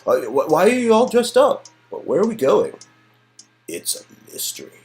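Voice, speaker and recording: A man's voice: sits high, huge pitch swings, normal speed, a little mellow, faint music behind, voice pushed up, exaggerated voice. A man playing a teasing young date in a dramatic reading of an interactive story game. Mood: mock surprise. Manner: teasing, mock-surprised.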